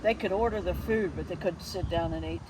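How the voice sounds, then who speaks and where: Clear background noise sits behind a woman hosting an interview. She talks conversationally and takes her time, pausing and stressing key words as she goes. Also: fairly low pitch. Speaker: interview host.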